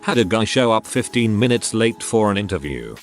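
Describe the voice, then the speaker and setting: Guy reading reddit stories, pretty low voice, bouncy delivery, quiet music behind. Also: lively intonation, a little fast. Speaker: narrator reading online stories. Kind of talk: scripted story narration. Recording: faint music underneath.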